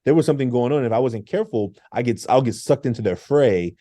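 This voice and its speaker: A middle-aged man, a former engineer turned teacher, telling animated stories in an interview, acting out quotes and laughing often. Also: medium pitch, quick pace, dry recording, studio quality. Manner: lively, acts out quotes with laughs.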